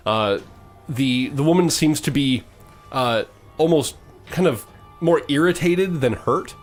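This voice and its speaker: A man's voice: on the high side, a little slow. A man running a tabletop fantasy game, describing the action with frequent restarts and self-corrections. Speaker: game master. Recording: music playing behind the voice. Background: background music.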